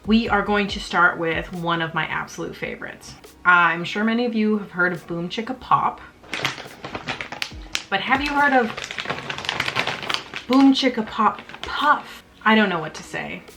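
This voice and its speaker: A woman's voice: medium pitch, animated, a little slow, vocal fry, long pauses, music in the background. A young woman, chatting in a casual home vlog about her snacks, bubbly and self-mocking, often laughing. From Canada. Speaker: vlogger.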